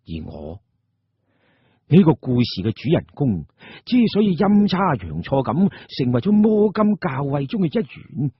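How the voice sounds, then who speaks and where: A man narrating an audio drama in Cantonese-accented speech, theatrical and suspenseful, with deliberate pauses. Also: fairly high pitch, sing-song, husky, no room sound. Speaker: storyteller, narrator. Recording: muffled, dull audio.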